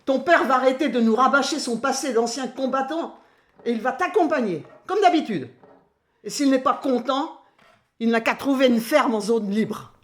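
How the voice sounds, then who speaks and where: Lady doing a scolding farm mother in a radio play, high voice, roomy echo, bossy. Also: lively intonation, moderate pace. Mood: exasperated, firm. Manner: scolding, firm.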